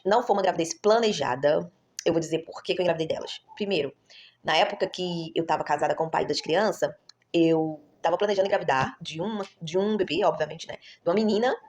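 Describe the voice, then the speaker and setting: A woman's voice: fairly low pitch, darkish tone. A woman, chatting to her viewers in a rambling vlog style and correcting herself often. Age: 30s. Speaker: vlogger, mother. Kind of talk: casual vlog storytelling.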